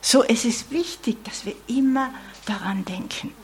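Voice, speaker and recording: A woman delivers a sermon at a slow, deliberate pace, checking in with her listeners through small "ja?" asides. Her voice is breathy and soft-edged, and it often falls to a near whisper before rising again with emphasis. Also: fairly high pitch. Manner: earnest, with frequent "ja?" asides and dropped whispers. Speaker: preacher.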